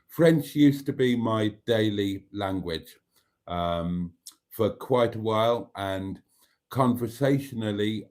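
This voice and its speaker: Older Welsh-born male host with a low voice, unhurried, rambling live-stream chatter full of wry asides. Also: a little mellow. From Wales. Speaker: livestream host. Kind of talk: casual live chat reading comments.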